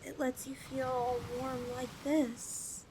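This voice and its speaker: A woman's voice: high voice, little pitch movement, slow, darkish tone, a little hiss or hum, poor audio. A woman playing a possessive, teasing snake girl in an ASMR audio roleplay, with a coy, cooing delivery. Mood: cooing, fascinated. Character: possessive, teasing young yandere lamia girl, half snake.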